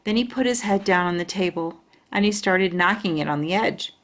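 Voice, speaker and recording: A woman reading a children's storybook aloud in an expressive storytelling style. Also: fairly low pitch, fairly quick.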